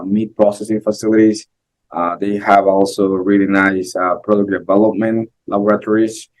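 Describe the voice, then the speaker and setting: A man's voice: fairly low pitch, poor audio. A man from Guatemala, an assistant professor, answering interview questions casually with many fillers and restarts.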